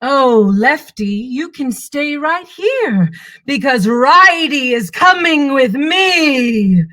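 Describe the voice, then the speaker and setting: Evil sparkly puppet queen, high and bright, draws out her words, gloats big time. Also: animated, exaggerated voice. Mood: sly. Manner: theatrical, drawn-out, taunting. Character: gloating villainous Sparkle Queen, grand and gushing. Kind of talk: puppet show villain.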